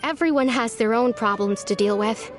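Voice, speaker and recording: A woman voicing a gentle, lonely young village woman in a video game, speaking softly and earnestly. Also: a little high, moderate pace, natural voice. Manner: soft, wistful and earnest. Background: faint game music.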